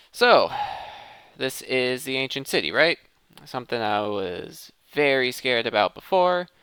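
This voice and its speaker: A man hosts a gaming video in a casual, think-aloud style, often breaking off to restart a thought. His voice is bright and crisp, with animated swings in pitch. It is recorded close and dry. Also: dry recording. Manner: self-interrupting, thinking aloud. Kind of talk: casual gameplay commentary.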